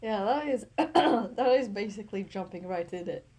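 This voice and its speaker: A woman from Latvia co-hosts a chatty podcast with a mellow, warm tone. She talks in a relaxed way, dropping in quick asides. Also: mid-range voice, slightly rough. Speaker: podcast co-host. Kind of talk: casual chat.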